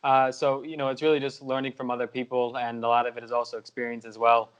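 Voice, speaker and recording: Flat-voiced young man, smooth tone. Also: medium pitch, quick pace, muffled recording. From United States. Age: young adult. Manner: steady, lots of "uh" and "you know". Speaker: guest. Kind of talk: interview answers.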